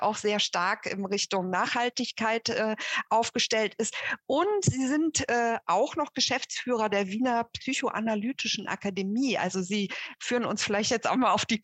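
A moderator introducing a guest on a panel, pausing often with fillers. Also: mid-range voice, a bit bright, no room sound. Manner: hesitant, many fillers.

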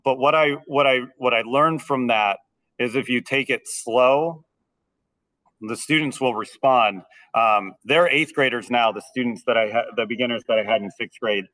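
A man's voice: mid-range voice, bright, forceful tone. A man in his thirties, a band teacher, talks through his methods in a casual podcast chat. His voice is slightly nasal, and he often stumbles and repeats words as he gets going. He sits a little away from the microphone, so he sounds somewhat distant. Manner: hesitant, repeats words when starting sentences. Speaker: school band director.

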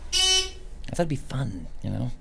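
A man's voice: mid-range voice, wildly swooping pitch, unhurried, vocal fry, over loud music, full character voice. An actor playing a resentful young male assistant in a satirical audio drama, given to mumbling and ranting. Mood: rambling.

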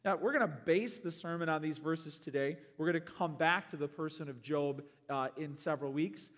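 A slightly hoarse man preaching a sermon to his church, conversational and joking, growing animated. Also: on the high side, moderate pace, long reverb tail. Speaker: pastor. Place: church sanctuary.

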